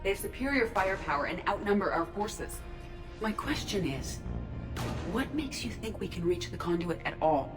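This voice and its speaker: A woman playing an earnest, logical, curious artificial intelligence in a video game, speaking in formal, measured, precise phrases. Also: mid-range voice, sing-song, lightly acted. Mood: earnest, resolute. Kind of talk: scripted video game voice acting. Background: music.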